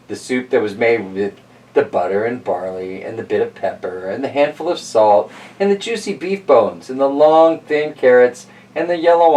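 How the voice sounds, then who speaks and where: A man with a mid-range voice and very lively intonation. He reads a storybook in a chatty, informal style, sounding a bit away from the mic. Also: medium pitch, recorded from a distance, rough recording. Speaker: storybook reader and host. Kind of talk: storybook narration with casual asides.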